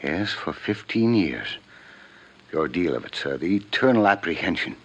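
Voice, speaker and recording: An actor playing a guilt-ridden, formal, wealthy older father in a 1950s radio drama, speaking with measured diction. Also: low-pitched, very animated, slightly rough, exaggerated voice. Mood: weary, anxious. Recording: muffled vintage radio recording.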